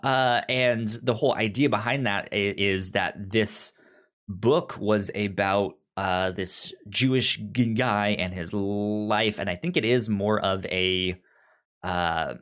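Slow-paced, halting male podcast co-host. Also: lowish voice, a bit bright, clear, resonant tone. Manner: halting, repeats and stumbles over word starts. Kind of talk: casual book discussion.

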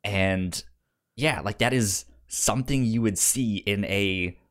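A man co-hosting a film podcast in casual conversation, speaking haltingly and often repeating sounds and syllables as he goes. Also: fairly low pitch, a bit bright.

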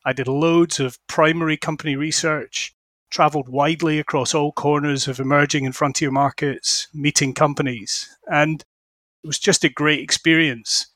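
A Scottish man in his forties, a fund manager telling his career story in a measured, reflective way on a podcast. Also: a little high, fairly even intonation, a bit bright, dry recording, studio quality. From Scotland. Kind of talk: podcast interview.

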